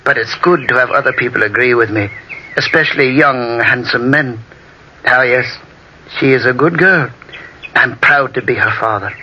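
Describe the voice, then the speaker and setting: A man's voice: medium pitch, moderate pace, bright, forceful tone, husky. A man playing a genial, fussy old Italian painter with an effusive, chuckling manner in an old-time radio drama. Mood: fatherly, rueful. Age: older. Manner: effusive, chuckling, sighing exclamations. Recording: dull, noisy vintage AM radio recording.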